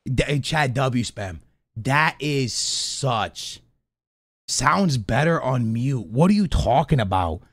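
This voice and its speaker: A man's voice: mid-range voice, expressive, slow, a bit bright, dry recording, studio quality. A man in his thirties chatting casually and in slang to his live stream chat while reacting to clips. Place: small indoor streaming room. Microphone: close studio mic on boom arm.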